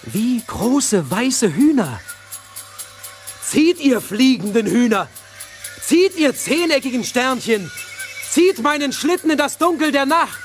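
An actor playing a headstrong young boy in a fairy-tale audio play, with an expressive, youthful manner. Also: very high-pitched, slow, soft background music, full character voice. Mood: thrilled. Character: young boy, headstrong, scornful, easily enchanted.

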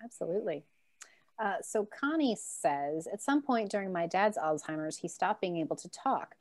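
A woman's voice: on the low side, animated, moderate pace. A woman moderating a virtual book event over a video call, reading audience questions aloud and chatting casually. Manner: reads questions out plainly, then chats casually.

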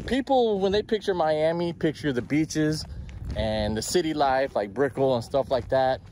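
A man's voice: fairly high pitch, moderate pace, darkish tone, slight background noise. A man in his forties, a fishing vlogger, talking casually to a handheld camera outdoors by a canal, a bit out of breath from the heat. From South Florida. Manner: chatty, self-talking, upbeat. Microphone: handheld camera at arm's length.

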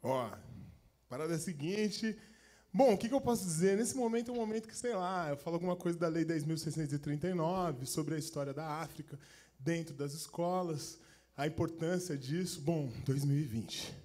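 A man's voice: fairly high pitch, brisk. A man on a concert stage speaking fervently into a handheld mic, sliding into rhythmic rap with emphatic repetitions. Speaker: vocalist and MC. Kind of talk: spoken word turning into rap over live music. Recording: live concert, band very loud. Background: loud live band.

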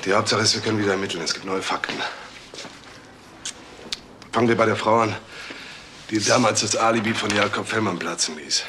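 A man playing a calm, shrewd police detective in a crime radio drama, measured, terse and commanding. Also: fairly low pitch, natural voice. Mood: brisk, commanding. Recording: clearly noisy track with clicks.

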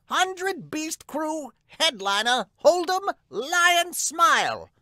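A man plays a dramatic announcer of manga title cards. The voice is raised high and theatrical, declaiming each phrase, with a dry, close sound. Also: sing-song, drawn-out words, sharp tone, no room sound, exaggerated voice.

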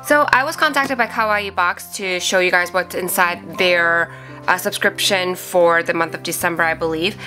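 Young woman, sick and nasal, chatty unboxing vlogger, crisp bright tone over faint background music. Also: fairly low pitch, moderate pace. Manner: chatty, thinking aloud with fillers. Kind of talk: casual unboxing review. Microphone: off-camera voice over hands-only tabletop shots.

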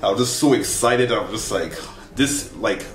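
A young man giving a casual, animated spoken review to camera in a conversational, slangy way. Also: on the low side, moderate pace, roomy sound, faint music behind. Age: young adult. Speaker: film reviewer. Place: small wood-furnished bedroom, indoors.

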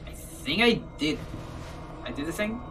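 A man's voice: on the high side, big pitch swings, unhurried, very noisy. A young man whose first language is Spanish, chatting casually with stream viewers from his bedroom in rambling, self-correcting English and laughing easily. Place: bedroom, indoors.

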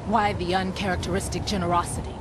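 A woman's voice: fairly low pitch, little pitch movement, fast talker, background noise, close to an everyday voice. An actress playing a cool female mercenary soldier in a video game, terse and challenging. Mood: suspicious. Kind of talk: video game cutscene acting.

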